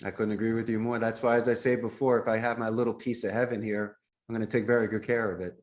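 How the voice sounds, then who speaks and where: A man's voice: lowish voice, little pitch movement, fast. A middle-aged man speaking as an interview guest, earnest and emphatic in a conversational way. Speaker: podcast guest, company CEO.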